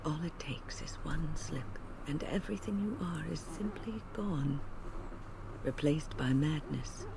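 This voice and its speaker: A woman playing a grave, wise older female mage in a video game, measured and reflective. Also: low-pitched. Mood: grave, haunted. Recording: game audio with clear background noise.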